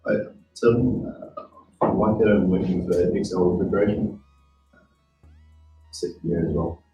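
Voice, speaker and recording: A young man mumbles in a very deep, flat voice. He sounds far from the mic in an echoey room, with his tone dark and mellow. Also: measured pace, faint music behind. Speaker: apprentice.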